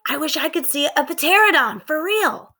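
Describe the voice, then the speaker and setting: A woman voicing a bold, friendly, excitable young girl in a children's storybook, eager and exclamatory. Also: high-pitched, normal speed, voice pushed up.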